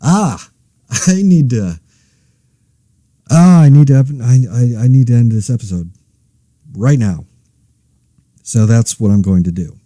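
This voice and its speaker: Mellow, wry, exasperated man podcasting as an actor. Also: medium pitch, lively intonation, takes it slowly, pauses often, no echo, clean studio sound. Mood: weary, deflated. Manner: wry, rambling, self-interrupting. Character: exasperated, anxious everyman podcaster during a zombie epidemic. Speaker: host of a fictional monologue podcast. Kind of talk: conversational audio-drama monologue.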